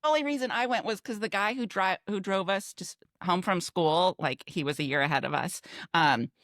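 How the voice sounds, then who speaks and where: An American woman in her fifties chatting casually with friends as a podcast host, in an animated way. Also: fairly low pitch, brisk, no echo, clean studio sound.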